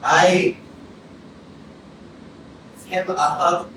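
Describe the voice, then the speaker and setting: An actor in a staged play reading, playing a troubled young killer who confesses in halting, terse answers. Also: medium pitch, steady tone. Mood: halting, guarded. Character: subdued troubled young man confessing to killing his family. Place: arts center stage, distant mic. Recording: echoey hall from far off, faint noise.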